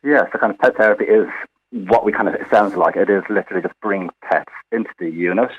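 A man's voice: on the low side, fast talker, breathy edge. An Irish man, a hospital physiotherapist, explaining his work in a chatty way with many fillers during a radio phone interview. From Ireland. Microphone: phone line. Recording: tinny phone line.

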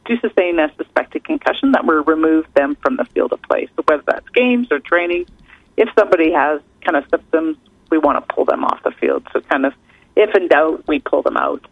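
A woman's voice: low-pitched, no room sound. A woman in her thirties with a Canadian accent, a research scientist chatting as a guest, filling her talk with "kind of" and "um". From Canada, British Columbia. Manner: chatty, many fillers like kind of and um. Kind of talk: casual radio interview. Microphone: phone call. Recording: tinny phone line, dry.

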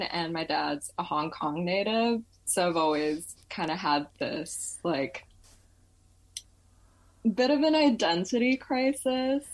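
A young woman from Malaysia in her twenties, chatting as a podcast guest with lots of fillers and laughs. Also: on the low side. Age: 20s. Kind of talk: casual interview chat.

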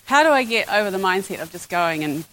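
Woman, mid pitch, friendly audience question, faint hiss. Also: expressive, fast talker, a bit bright, poor audio. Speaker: audience member asking a question. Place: festival venue audience.

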